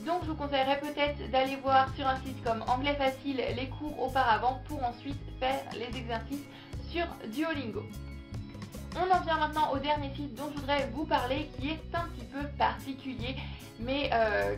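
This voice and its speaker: A young woman with a slightly high voice talks casually to camera, chatty and upbeat. Her voice rings in an echoey room, and music plays clearly behind her. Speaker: vlogger.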